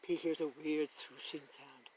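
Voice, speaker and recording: A woman reading a children's picture book aloud as a story time host, in a deliberate, expressive way that points things out to young listeners. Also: deep voice, husky. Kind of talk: children's read-aloud narration.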